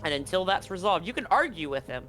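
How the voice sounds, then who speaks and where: A man with a fairly high voice serves as game master. He explains rules and narrates a tabletop roleplaying scene over faint background music. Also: sing-song, fast talker, a bit bright.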